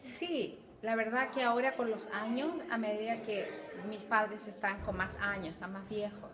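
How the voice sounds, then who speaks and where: A woman's voice: mid-range voice, fairly even intonation, moderate pace, crowd noise. A middle-aged woman from Chile, a Spanish teacher, answering interview questions thoughtfully with hesitant repeats.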